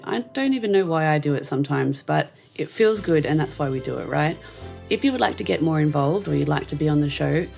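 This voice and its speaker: A woman's voice: sits low, vocal fry, a little mellow. A woman hosting a podcast interview in casual chat, gushing and laughing often, with many fillers. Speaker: podcast host.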